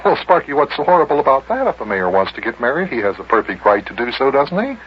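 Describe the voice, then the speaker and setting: A man's voice: mid-range voice, sing-song, fast talker, close to an everyday voice. A man acting a good-natured, patient guardian in an old-time radio children's show, folksy and full of exclamations. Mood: amused. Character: good-natured, scolding grown-up guardian of a boy. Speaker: host and lead actor of children's radio show. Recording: muffled, hissy vintage radio recording.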